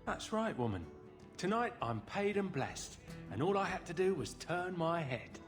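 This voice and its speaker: A man's voice: high-pitched, very animated, unhurried, over music. An actor playing a drunken, blustering city guardsman with slurred speech in a video game. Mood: drunken bragging. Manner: boastful, then stammering when confronted. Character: drunken, bragging city guardsman who cowers under questioning.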